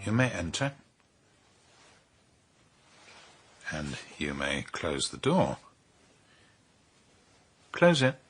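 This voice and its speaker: An actor playing a cold, imperious middle-aged count in a radio drama, speaking in deliberate, clipped commands. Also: male voice, deep voice, wildly swooping pitch, soft background music, full character voice.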